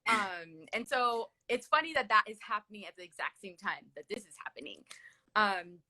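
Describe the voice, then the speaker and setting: Bright-voiced American woman in her late thirties. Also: mid-range voice, animated, normal speed, poor audio. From California, USA. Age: late 30s. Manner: chatty, peppered with "like" and "literally". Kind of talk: casual personal storytelling on a livestream.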